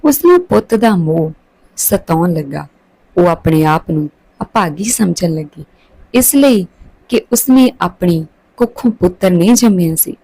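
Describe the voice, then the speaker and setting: A woman's voice: on the low side, lively intonation, unhurried, dark tone, poor audio. A woman reading a scripted story aloud as a storyteller.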